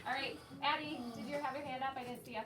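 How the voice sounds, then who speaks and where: A woman's voice: high voice, fairly even intonation, fast. A woman teaching an elementary class, putting questions to pupils and affirming their answers by repeating them. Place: school classroom. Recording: roomy, distant mic, clear background noise.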